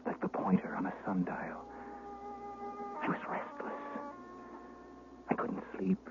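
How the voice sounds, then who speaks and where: An actor playing an educated former shepherd, haunted, gravely and deliberately recounting his youth in a radio drama. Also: deep voice, close to an everyday voice. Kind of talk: 1970s radio drama narration. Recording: muffled vintage radio recording, music behind.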